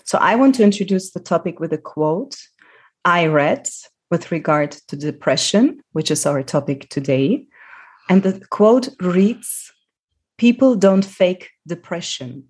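A woman hosting an interview podcast, speaking in an earnest, deliberate way with a husky voice. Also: on the low side, lively intonation, a little mellow. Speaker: podcast host.

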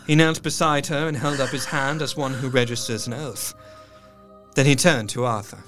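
An actor playing a sorrowful, earnest doctor who narrates a gothic tale in measured, literary phrases. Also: male voice, mid-range voice, steady tone, fairly bright tone, soft background music, close to an everyday voice. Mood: tense, grave. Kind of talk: dramatic scripted narration.

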